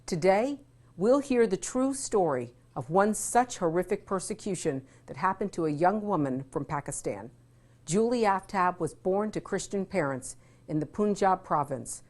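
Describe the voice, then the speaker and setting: A woman reads scripted narration in a measured, serious news style. Her voice sits slightly low. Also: animated, darkish tone. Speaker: program host.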